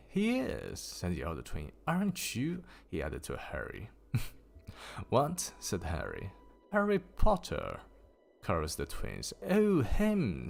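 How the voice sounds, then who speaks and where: A man streaming a casual story reading, raising his pitch for the characters and stopping to laugh and swear at typos. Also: creaky. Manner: lifts pitch for quoted characters. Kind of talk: casual livestream book reading.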